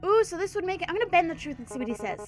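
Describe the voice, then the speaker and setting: A woman's voice: very high voice, sing-song, rapid-fire, faint music behind. A young woman with a congested voice chatting casually in her bedroom while playing a game. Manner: bubbly, laughing, chatty. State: congested from a cold. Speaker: gaming YouTuber. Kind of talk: casual let's-play commentary.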